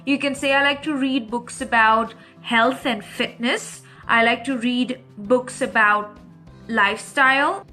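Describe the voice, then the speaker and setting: Woman, English tutor, slightly high voice, bright tone, clear and patient, faint music behind. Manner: slow, clear, repeats questions for learners. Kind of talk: teaching lesson to camera.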